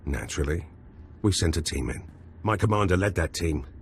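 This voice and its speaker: A brash, scheming intelligence officer with a low voice and a cocky, conspiratorial way of talking. Faint ambience sits under the game audio. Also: male voice, expressive, moderate pace, a little hiss or hum.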